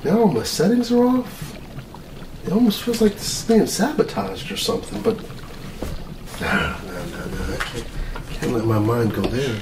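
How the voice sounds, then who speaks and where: A man's voice: mid-range voice, moderate pace, lightly acted. A middle-aged man playing an earnest, easily flustered military mad scientist in an ASMR roleplay, rambling, muttering and repeating his words. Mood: suspicious, anxious. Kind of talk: ASMR roleplay, improvised monologue. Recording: roomy, distant mic with clear noise.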